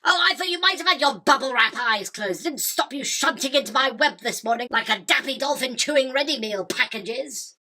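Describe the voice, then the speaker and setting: A woman's voice: high voice, lively intonation, brisk, few pauses, sharp tone, full character voice. A woman playing a bossy, irritable ageing female spider in a theatrical radio drama, haranguing and full of florid insults. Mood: scornful, angry.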